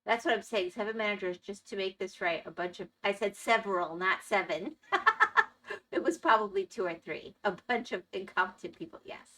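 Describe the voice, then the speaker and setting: Bright-voiced woman losing her voice. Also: medium pitch, a little fast, low-quality recording. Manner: chatty, reads and answers chat. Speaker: game streamer. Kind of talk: casual live-stream chat.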